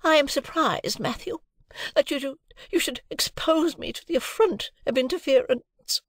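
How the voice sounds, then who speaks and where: A woman's voice: sits high, moderate pace, no room sound, studio quality, voice pushed up, lightly acted. A woman voicing a haughty, easily affronted married lady in an audiobook, imperious and indignant. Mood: haughty indignation. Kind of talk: audiobook character acting.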